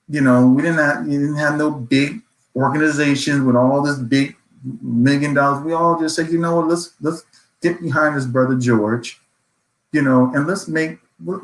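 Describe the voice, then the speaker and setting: Male panelist, average pitch, earnest, emphatic conversational delivery, roomy echoing sound. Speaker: organization leader speaking as a panelist.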